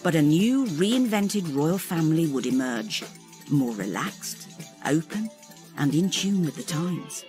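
Low-voiced woman narrator over music. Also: animated, unhurried, darkish tone. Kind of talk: scripted documentary narration.

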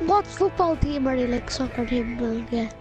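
Brief: young high-pitched gamer, teasing and bickering, game music under the voice. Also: female voice, animated, moderate pace, dull audio. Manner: teasing, bickering, laughs often. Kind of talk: casual banter while gaming. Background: game menu music.

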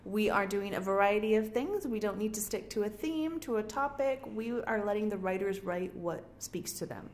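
A woman's voice: mid-range voice, lively intonation, normal speed, few pauses, darkish tone. A woman co-hosting a podcast in an upbeat presenting style, recorded in an empty office. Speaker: podcast co-host. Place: very empty, cave-like office indoors. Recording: echoey empty office, faint ambient noise.

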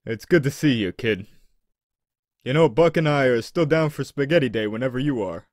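A man's voice: medium pitch, expressive, normal speed, darkish tone, no room sound, clean studio sound, lightly acted. A man playing a friendly, casual member of a drug crew in an audio drama. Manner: casual, familiar.

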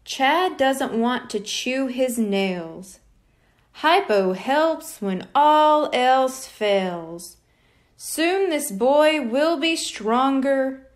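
A woman voicing a smooth, theatrical male stage hypnotist in a storybook reading, commanding and playful. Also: very high voice, expressive, exaggerated voice. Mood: hypnotic, soothing. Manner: theatrical, slow and deliberate.

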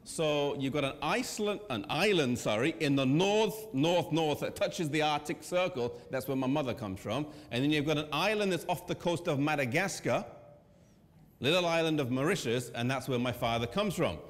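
A man in his thirties with an English accent, preaching in a conversational way with pauses and fillers. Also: fairly high pitch, expressive. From England. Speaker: pastor. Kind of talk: sermon presentation. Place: church hall with a seated audience. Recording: long church hall reverb.

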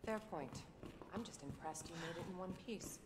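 A woman's voice: on the low side, moderate pace, close to an everyday voice. A woman playing a cool, dry, guarded young female agent in a video game. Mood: dry, serious. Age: young adult. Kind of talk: cinematic video game acting. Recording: noisy, loud ambience behind.

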